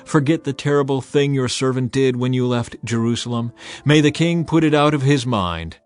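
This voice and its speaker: A man's voice: medium pitch, normal speed, faint music behind, lightly acted. A man voicing a humble, penitent subject pleading with a king in a Bible drama. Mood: contrite, earnest.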